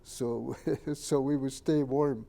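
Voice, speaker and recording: An older man, a Japanese first-language speaker from Canada, recalls his childhood in a halting oral history interview and points out photos. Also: mid-range voice, fairly even intonation, vocal fry, dark tone. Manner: halting, many fillers and restarts, pointing out photos.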